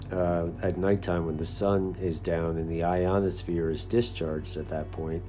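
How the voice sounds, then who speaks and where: A man's voice: low-pitched, mellow. An American man talking casually and off the cuff as a hobbyist host, explaining things in an earnest, conversational way. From United States. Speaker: CB radio hobbyist and video host. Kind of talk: casual unscripted talk.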